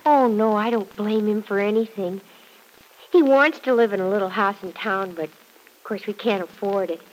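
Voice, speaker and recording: A woman's voice: mid-range voice, normal speed, natural voice, low-quality recording. A woman playing a cheerful, devoted young farm girl working as a housemaid in a classic radio drama, earnest and artless with folksy exclamations. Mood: wistful, earnest.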